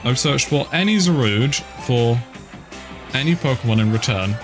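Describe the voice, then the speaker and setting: A man's voice: mid-range voice, lively intonation, normal speed. Brit guy doing a let's play, dry grumbly asides, game music going behind him. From United Kingdom. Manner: wry, grumbling asides while reading screens aloud. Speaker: gaming streamer. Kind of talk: casual let's-play commentary. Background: video game music.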